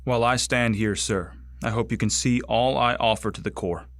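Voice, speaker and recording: An actor playing a bitter, bigoted young man who wants to join a space marine corps, with an intense, indignant delivery and heavy pauses in a radio drama monologue. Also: mid-range voice, fairly even intonation, fairly bright tone, dry recording, clean studio sound, close to an everyday voice. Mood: proud, earnest. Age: young adult.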